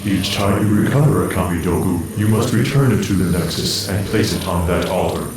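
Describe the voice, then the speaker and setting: An actor playing a solemn, commanding spirit guide in a video game, speaking gravely and formally through heavy echo. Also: male voice, low-pitched, fairly quick. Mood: solemn, ominous. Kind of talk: video game cutscene voice acting. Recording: echoing, distant voice with music behind.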